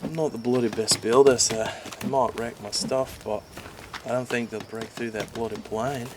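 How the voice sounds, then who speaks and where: A man voicing an excitable, hopeful stranded man, who speaks up eagerly and swears casually. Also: medium pitch, normal speed, close to an everyday voice. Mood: urgent. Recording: loud background noise with clicks.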